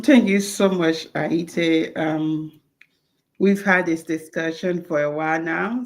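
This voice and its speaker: A woman's voice: sits low, gravelly. A woman from Liberia, telling her life story as an interview guest. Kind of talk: conversational interview.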